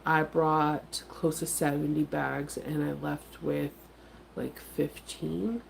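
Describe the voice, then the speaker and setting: A woman's voice: deep voice, steady tone, takes it slowly, creaky, a little hiss or hum, poor audio. A middle-aged woman with a head cold, sounding congested as she chats casually to her viewers in a rambling, filler-heavy way. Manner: rambling, chatty, many fillers. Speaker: vlogger and craft vendor. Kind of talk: casual morning chat to viewers.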